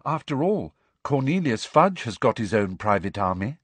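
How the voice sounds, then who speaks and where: A man doing a strange, dreamy teenage girl who states wild ideas solemnly. Her voice is a little husky, recorded dry and close. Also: mid-range voice, big pitch swings, moderate pace, dry recording, voice pushed up. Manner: solemn, matter-of-fact.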